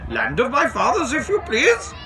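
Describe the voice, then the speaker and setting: A man's voice: extremely high pitch, huge pitch swings, moderate pace, vocal fry, far from the mic, a crowd in the background, voice pushed up, heavily acted. A man voicing a courteous village choirmaster in a children's storybook, tuneful, formal and slightly flustered. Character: courteous, genial male Welsh village choirmaster.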